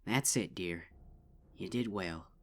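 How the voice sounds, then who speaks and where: A man's voice: deep voice, slow pace, voice pushed up. A man voicing a stern, protective older-sister farm pony with a drawl. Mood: gentle. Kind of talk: fanfic audiobook reading.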